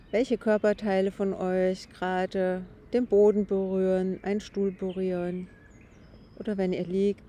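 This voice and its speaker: A woman leading an improvised guided meditation in a gentle, halting, unhurried way. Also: medium pitch. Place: outdoors on a grazing meadow. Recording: outdoor background noise with birdsong. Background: birds, grazing horses, distant construction noise.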